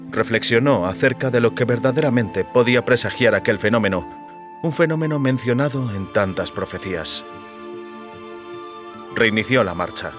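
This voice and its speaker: A man with a mid-pitched voice narrates literary fiction. His delivery is scripted, dramatic storytelling, and faint music plays behind him. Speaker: audiobook narrator.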